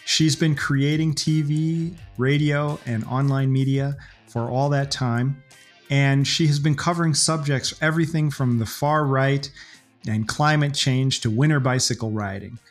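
A man hosting a podcast interview, introducing his guest warmly with a few ums. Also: mid-range voice, fairly even intonation, unhurried, faint music behind. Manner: friendly introductions, some hesitation fillers. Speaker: podcast host.

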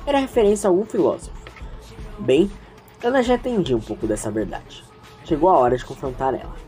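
Low, lively woman storyteller. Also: brisk, faint music behind. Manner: chatty, reflective, addresses the listeners. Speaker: narrator and host. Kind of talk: informal storytelling narration with asides.

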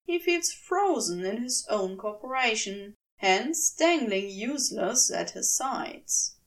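A woman reading a scripted story as narrator, in an expressive and deliberate storytelling manner. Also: on the high side, far from the mic. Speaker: podfic narrator.

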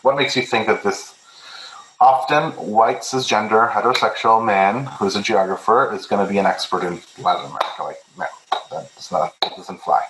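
A man's voice: fairly low pitch, normal speed, a bit bright, slight background noise. A young man from Peru, a native Spanish speaker, talking earnestly as an interview guest and often repeating words as he starts a thought. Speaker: guest researcher. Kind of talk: casual interview talk. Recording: echoey room.

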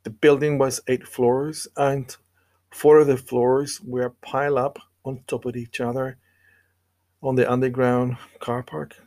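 A man delivering a scripted devotional sermon and scripture reading in an earnest, measured way with deliberate pauses. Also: medium pitch, dark tone. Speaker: preacher.

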